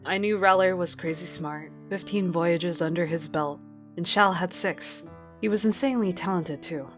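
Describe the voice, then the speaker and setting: A woman's voice: lowish voice, moderate pace, faint music behind, close to an everyday voice. A woman playing a stubborn, protective captain of a space voyage in an earnest radio drama performance. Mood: reflective.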